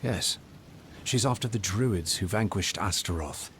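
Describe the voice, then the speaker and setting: A man playing a calm, commanding wandering hero in a fantasy video game. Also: on the low side, measured pace, a little hiss or hum, lightly acted. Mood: grave. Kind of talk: dramatic video game dialogue.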